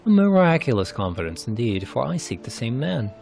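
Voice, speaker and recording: A man's voice: medium pitch, sing-song, fairly quick, creaky, a little mellow, faint music behind. Male reader as a polished, self-assured fantasy game adventurer; formal old-world phrasing, swooping intonation. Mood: suave, assured. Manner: formal, archaic, theatrical phrasing. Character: polished, confident, courteous adventurer seeking a wanted pirate. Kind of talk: narrated fantasy game dialogue.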